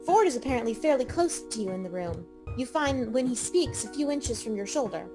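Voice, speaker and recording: A woman with a fairly high voice reads scripted narration for a visual novel. She tells the story in the second person over clearly audible music. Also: expressive, a little fast. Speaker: narrator and player character reader.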